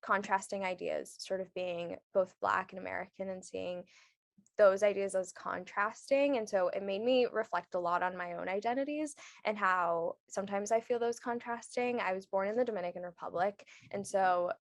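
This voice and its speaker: A woman's voice: medium pitch, normal speed, gravelly, no room sound, clean studio sound. A young woman from New York City, a program alumna, reflecting earnestly on her identity and a text. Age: young adult. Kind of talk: reflective personal testimony.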